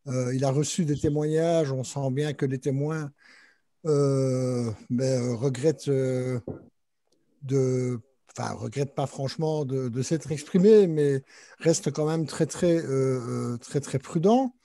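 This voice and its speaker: A man's voice: mid-range voice, measured pace, mellow, dry recording, clean studio sound. A man moderating a literary panel, introducing the guests and their books with many hesitations and fillers. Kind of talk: panel discussion hosting.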